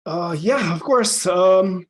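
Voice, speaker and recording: A man's voice: sits high, slow pace. A man in his thirties from Slovenia with a Slovenian accent, chatting eagerly as a podcast guest, with frequent ums and uhs. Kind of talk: casual interview chat.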